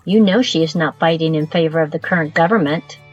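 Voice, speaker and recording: A woman playing a shrewd, commanding brothel madam in an audio drama, poised and probing, with a husky voice. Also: sits low, fairly quick, darkish tone, dull audio, soft background music.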